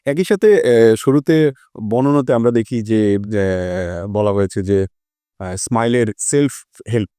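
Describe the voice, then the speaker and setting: A man hosting a literary discussion in measured, hesitant phrases, mixing in some English words. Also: on the low side, mellow, smooth. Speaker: discussion host.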